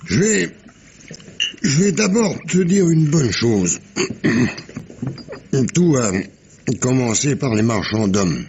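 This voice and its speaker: An actor playing a very old, sly sailor in a French radio mystery drama, with a husky, wheezy voice and a halting storyteller's delivery. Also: male voice, mid-range voice, sing-song, muffled recording, background noise. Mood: sly, confiding. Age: 80s. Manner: halting, chuckling, salty storyteller with hums and asides.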